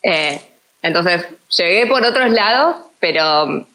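An Argentine woman with a bright, slightly low voice. She talks as a psychologist guest on a casual interview. Her explanations are thoughtful and often restart mid-phrase. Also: expressive, slow pace. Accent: Argentine Spanish.

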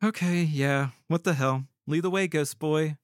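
A man's voice: on the high side, unhurried, no echo, clean studio sound, natural voice. A man voicing a sassy, impatient young woman in an audio story, with sarcastic, casual delivery.

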